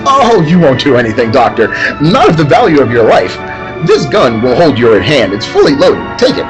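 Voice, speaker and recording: A man's voice: mid-range voice, huge pitch swings, normal speed, over music, low-quality recording. A man acting a grandiose, gloating villain bent on ruling the world, in an old-time radio drama style. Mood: menacing, smug. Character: male megalomaniac mad villain seeking world domination.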